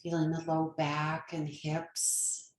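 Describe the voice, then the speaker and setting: A woman leading a guided meditation as a yoga nidra teacher, speaking gently and soothingly with long pauses. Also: low-pitched, monotone, reverberant.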